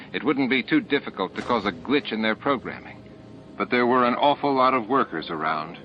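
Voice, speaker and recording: A man's voice: medium pitch, slight background noise. A man reading a novel aloud as a scripted audiobook narrator. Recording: dull lo-fi audiobook tape. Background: added music and sound effects.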